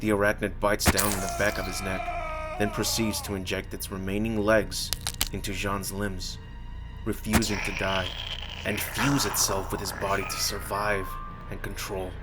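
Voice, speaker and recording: Male creator-narrator, low pitch, scripted story delivery and channel talk, prominent music bed underneath. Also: little pitch movement, moderate pace, low-quality recording. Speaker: comic creator narrating own video.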